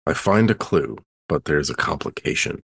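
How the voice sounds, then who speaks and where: Creaky, animated man playing tabletop games. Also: extremely low pitch, moderate pace, no echo. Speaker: tabletop game player. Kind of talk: casual game chat.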